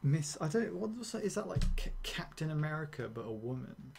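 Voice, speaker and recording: A young man streaming a game, rambling and ranting casually with swearing and quick laughs. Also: a little high, sing-song, vocal fry, darkish tone, soft background music. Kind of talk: casual gameplay commentary.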